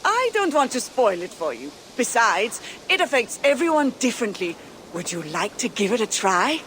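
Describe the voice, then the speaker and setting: A confident Viking huntswoman in a video game, keen and a little teasing. Her voice is on the high side and moves freely up and down, with faint background noise. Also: big pitch swings, normal speed, a bit bright. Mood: eager, teasing. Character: confident Viking huntswoman who runs warrior contests. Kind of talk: video game cutscene acting.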